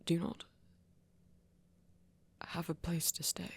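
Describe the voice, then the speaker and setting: A woman playing a refined, flirty male vampire with a breathy voice and formal, careful phrasing in an intimate audio roleplay. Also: on the low side, completely flat delivery, slow, full character voice. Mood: careful, concerned. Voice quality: breathy, whispery. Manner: formal, careful diction without contractions, gently teasing.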